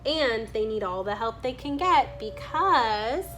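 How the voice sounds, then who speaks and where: Slow-paced woman reading a picture book aloud. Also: on the high side, expressive, over music. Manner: pauses to remark on the illustrations. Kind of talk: read-aloud with spontaneous comments on pictures.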